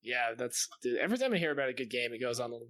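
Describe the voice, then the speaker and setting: A man's voice: medium pitch, big pitch swings, racing, a bit bright, no room sound. Guy chatting on a gaming podcast, into it, swears a bit, medium voice, super dry audio. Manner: casual, enthusiastic, swears. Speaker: podcast co-host. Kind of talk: casual gaming chat.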